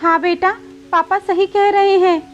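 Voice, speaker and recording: A woman voicing a gentle young mother bird with a pleading manner in a cartoon moral story. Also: very high-pitched, level tone, a little slow, lo-fi sound, soft background music, voice pushed up. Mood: gentle, earnest.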